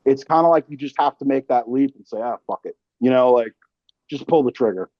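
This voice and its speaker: A man's voice: mid-range voice, fairly quick, no echo. A man hosting a podcast in casual, slangy, joking chat with some swearing, on slightly dull audio. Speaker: podcast host.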